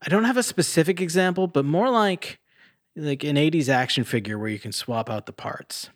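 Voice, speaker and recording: A man's voice: fairly high pitch, normal speed, dry recording, clean studio sound. A middle-aged man chatting casually as a podcast host, geeky and given to swearing. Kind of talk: casual chat.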